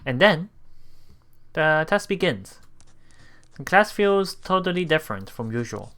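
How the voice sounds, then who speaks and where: A man reading a visual novel aloud as a let's play streamer, haltingly, with repeats and self-corrections. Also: fairly high pitch, sing-song. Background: soft game music.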